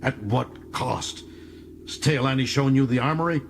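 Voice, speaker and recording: A man's voice: medium pitch, unhurried, creaky, a little hiss or hum, pitched above the performer's own voice. An actor playing a bitter, proud young male alien prisoner in an audiobook, speaking with dramatic intensity and contempt. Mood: repressed fury.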